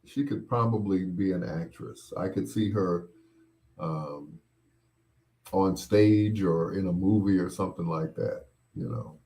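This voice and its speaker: A middle-aged man hosting a casual show, chatting and reminiscing in a rambling way, often pausing and repeating himself as he replies to listeners. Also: low-pitched, mellow. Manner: unhurried, rambling, with pauses and repeats. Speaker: host of a bedtime stories show. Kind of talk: casual chat, reminiscing and replying to listener comments.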